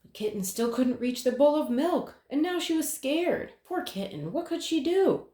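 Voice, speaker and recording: A woman reading a children's picture book aloud in an expressive way, with dramatic pauses. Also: high voice. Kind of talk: children's story read-aloud. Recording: roomy, echoing sound.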